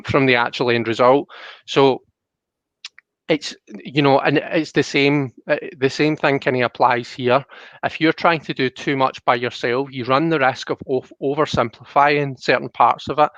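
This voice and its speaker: A man hosting a casual live stream over an online call, talking thoughtfully and haltingly and reading out comments. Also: medium pitch, a bit bright, dry recording, dull audio. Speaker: live stream host and card reader. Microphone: online call microphone.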